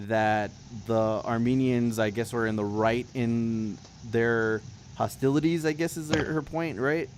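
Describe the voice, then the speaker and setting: Male talk show host, medium pitch, hesitant and conversational, audible background noise. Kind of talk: casual news discussion.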